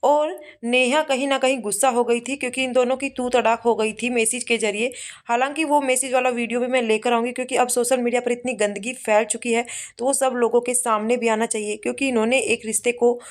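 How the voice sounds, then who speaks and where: Female vlogger, fairly high voice, giving spoken commentary straight to viewers. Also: steady tone, fairly quick. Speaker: vlogger presenting call recordings.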